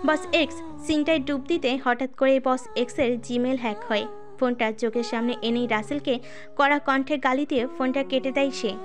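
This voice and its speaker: A woman's voice: high voice, steady tone, normal speed. A woman reading a scripted dramatic audio story as its narrator. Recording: music under the voice. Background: music.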